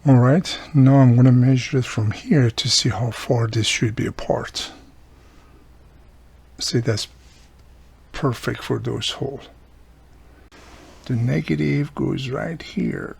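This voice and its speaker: A man's voice: medium pitch, takes it slowly, creaky, long pauses, a little mellow, slightly rough. A man giving a casual hands-on repair demonstration, explaining each step plainly as he works. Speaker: radio repairer and video host.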